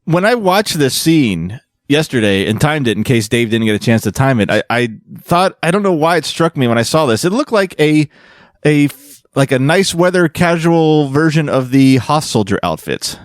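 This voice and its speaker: A man's voice: a little high, big pitch swings, normal speed, no room sound, clean studio sound. A man co-hosting a podcast, chatting casually with wry, crude humour. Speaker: podcast co-host. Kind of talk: casual joking panel chat.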